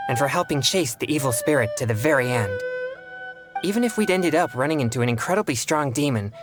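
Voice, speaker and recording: A man's voice: mid-range voice, lightly acted. Earnest young male exorcist played by a man. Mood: sincere, grateful. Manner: earnest, formal, measured. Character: earnest, polite teenage boy exorcist. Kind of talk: video game voice acting.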